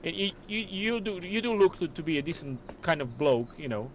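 A man speaks over voice chat in a somewhat high voice, stumbling and repeating words as he keeps his terms polite but firm. A faint hiss runs behind him. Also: very animated, brisk. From Portugal. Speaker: rival clan player. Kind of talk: in-game voice chat.

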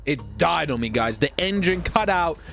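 Excitable high-voiced man doing gaming commentary. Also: normal speed, vocal fry, faint music behind. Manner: excitable, swearing, shouting outbursts, self-talk. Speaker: gaming video host. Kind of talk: excited gameplay commentary while roleplaying.

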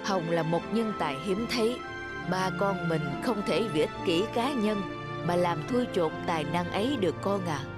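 A woman playing a calm, firm middle-aged father and school principal in a cartoon, measured and admonishing. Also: lowish voice, close to an everyday voice. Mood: explaining, gentle. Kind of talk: animated cartoon voice acting. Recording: clear music behind the voice.

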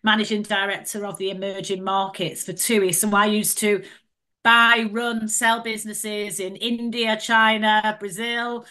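Interview guest, 50s, Mancunian accent, bright higher-pitched voice, chatty storyteller. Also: female voice. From north of England. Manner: chatty, warm storytelling with frequent "um" and "you know". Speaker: guest, entrepreneur and podcaster. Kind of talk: casual interview chat.

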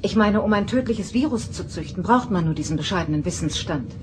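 An actress playing a knowledgeable, serious starship doctor with an admonishing, matter-of-fact manner in a German science-fiction radio drama. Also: female voice, mid-range voice, expressive, brisk, darkish tone, slight background noise, lightly acted. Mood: grave.

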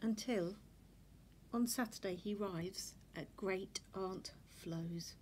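A woman reads a children's picture book aloud with expressive, deliberate storytelling delivery. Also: mid-range voice. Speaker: storybook reader. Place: indoors in a furnished room at home.

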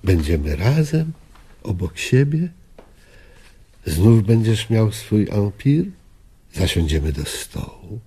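An actor playing a dead old friend in a radio drama, a husky older man who coaxes and lures theatrically. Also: on the low side, very animated, slow pace, long pauses, dark tone, full character voice. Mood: coaxing, nostalgic. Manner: coaxing, seductive, theatrical. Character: dead old friend, a coaxing male ghost.

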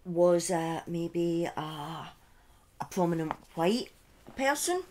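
A middle-aged woman telling a true-crime story informally on a livestream, speaking deliberately with pauses and emphatic repetition, close to a desk microphone. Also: lowish voice, animated, dark tone, slight background noise. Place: small indoor room at home. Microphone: close desk microphone on a boom arm.

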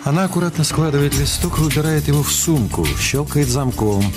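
A man narrating a radio play in a scripted, literary, expressive storytelling style. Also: on the high side, a little fast. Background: music.

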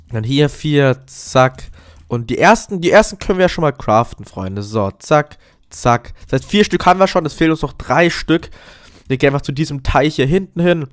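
A man with a cold, giving chatty, upbeat commentary while playing a video game and often addressing his viewers as friends. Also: mid-range voice. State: has a cold. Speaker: gaming YouTuber. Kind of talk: casual Let's Play commentary.

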